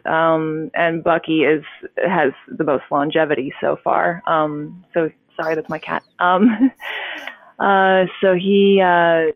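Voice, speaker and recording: A woman's voice: low voice, vocal fry, slight background noise. Woman talking over a tinny line. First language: Russian. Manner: chatty, relaxed, many fillers and restarts. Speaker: voice actor and audiobook narrator. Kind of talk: casual interview chat.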